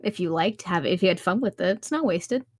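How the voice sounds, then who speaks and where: A woman's voice: on the low side, animated, a little fast, dry recording, clean studio sound. A woman who streams, talking as an upbeat, encouraging guest on a podcast. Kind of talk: casual podcast chat.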